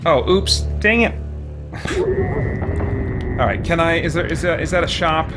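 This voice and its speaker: A man's voice: on the high side, expressive, unhurried. Man thinking aloud over game music. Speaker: let's play host. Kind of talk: casual gameplay commentary.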